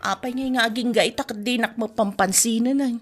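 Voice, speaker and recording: A woman's voice: a little high, fairly quick, soft background music, lightly acted. A woman with an Ilocano accent playing a dramatic, complaining wife and new mother in a comedy radio drama. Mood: subdued, sulky.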